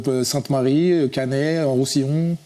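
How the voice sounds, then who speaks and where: A man from France in his forties talks casually as an interview guest. His voice is mellow and warm. He often hesitates, trails off and restarts his sentences. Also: medium pitch, steady tone, normal speed. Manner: hesitant, many restarts and fillers. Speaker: singer-songwriter guest.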